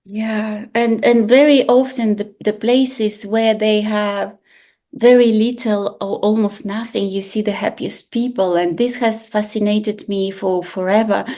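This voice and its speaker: A woman, hosting a podcast in a casual chat and telling stories in an expressive, animated way with frequent restarts. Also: mid-range voice, measured pace. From Bulgaria. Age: 40s. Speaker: podcast host.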